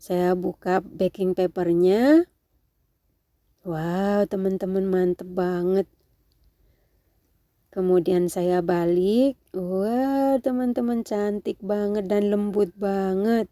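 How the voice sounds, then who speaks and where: A woman with a nasal, congested voice from a cold gives a casual cooking tutorial, gently instructing her viewers. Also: medium pitch, steady tone, slow, long pauses, dry recording, studio quality. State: has a cold. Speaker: home cooking video host.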